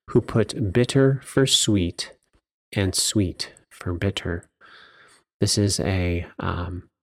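A man with a fairly low voice gives an informal Bible devotional for a podcast. He explains earnestly and deliberately, and the recording is close and very dry, with no room sound. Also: no echo.

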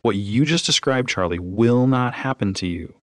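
A man's voice: mid-range voice, lively intonation, normal speed, no room sound. A man co-hosting a podcast in casual book chat, emphatic and speaking straight to the listeners. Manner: emphatic, addresses listeners directly. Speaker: podcast co-host. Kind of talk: casual chat about books.

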